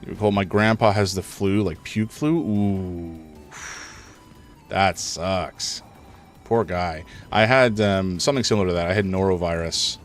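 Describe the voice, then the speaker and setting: A man live-streaming a horror game, rambling through his commentary, chatting with viewers, and reacting loudly to scares, a little congested. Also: deep voice, unhurried, soft background music. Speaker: gaming streamer.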